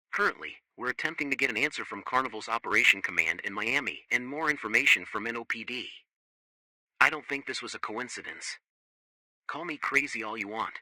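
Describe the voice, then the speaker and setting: A man as a crisp, forceful, opinionated news anchor. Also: medium pitch, no echo, low-quality recording. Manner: direct, opinionated. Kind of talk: scripted news broadcast with commentary.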